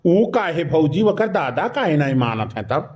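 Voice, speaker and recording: A man's voice: on the high side, very animated, normal speed, darkish tone, highs cut off. A man voicing a preachy, freeloading village uncle in a cartoon comedy, bantering with an Awadhi accent. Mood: lecturing. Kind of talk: comic cartoon dialogue.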